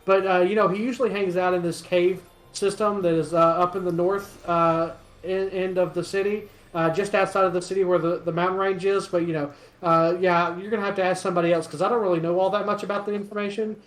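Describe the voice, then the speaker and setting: A rambling, gossipy townsman from a fantasy tabletop game, played by a man, in a fairly high male voice. He hesitates, circles back and contradicts himself, with roomy echo around him. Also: little pitch movement, fast, few pauses, lightly acted. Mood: rambling, unsure.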